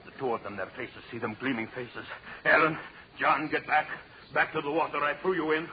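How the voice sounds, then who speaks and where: An actor playing a guilt-crazed murderer, theatrical and feverish, muttering and repeating himself in 1940s radio horror style. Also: male voice, fairly high pitch, steady tone, fairly quick, natural voice. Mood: terror. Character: guilt-crazed murderer raving at his tiny dead victims. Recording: muffled noisy old radio drama recording.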